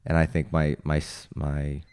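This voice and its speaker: A man with laryngitis, hoarse and low, chatting casually as a podcast co-host. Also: moderate pace, no echo, studio quality. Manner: relaxed, chatty, reads sponsor ad casually.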